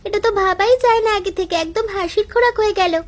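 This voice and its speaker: Cartoon role: a woman playing an eager younger daughter-in-law, very high and fast, over light music.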